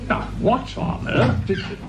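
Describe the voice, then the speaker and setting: An actor playing an imperious, curt research director in a British radio crime drama, with an English accent and a pompous, condescending manner. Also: male voice, a little slow, lightly acted. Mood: haughty, irritated. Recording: roomy, muffled, slightly noisy radio recording.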